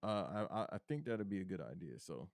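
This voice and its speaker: A man's voice: a little fast, no echo. A man co-hosting a podcast, talking wrestling in a casual, emphatic way and calling his co-host bro. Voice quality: deep bass voice. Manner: animated, emphatic, slangy, calls his cohost bro.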